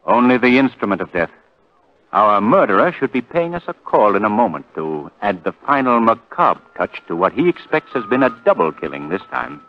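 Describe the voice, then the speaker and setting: Commanding male sleuth, deep and animated, urgent 1940s radio-drama style, muffled old broadcast. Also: normal speed. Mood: tense, watchful. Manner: urgent, authoritative.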